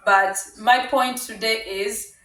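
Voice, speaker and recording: A middle-aged woman from Uganda telling her life story on her vlog, speaking slowly with many pauses and fillers while cooking in her home kitchen. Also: a little high, fairly even intonation, pushed and bright. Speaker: vlogger. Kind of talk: casual personal storytelling. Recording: echoey kitchen, voice from a distance.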